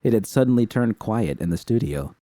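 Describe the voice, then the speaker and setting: A man reads a first-person story with steady, even pacing. His voice is deep and mellow, recorded close and dry with no room sound. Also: brisk, no room sound. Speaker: audiobook reader. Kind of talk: first-person fanfiction narration.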